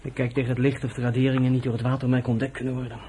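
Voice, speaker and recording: An actor as hurried, eager young fighter. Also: male voice, mid-range voice, flat delivery, warm tone, lightly acted. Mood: eager, tense. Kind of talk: Dutch radio drama acting. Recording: noisy old radio drama recording.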